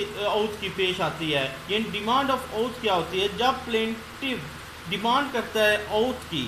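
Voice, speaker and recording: Female law lecturer, slow and explanatory, bilingual delivery, echoing room sound. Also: fairly high pitch. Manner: switches between English and Hindi-Urdu, explaining each point. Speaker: law lecturer and channel host. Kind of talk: explanatory law lecture, reading legal points. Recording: roomy echo, clear background noise.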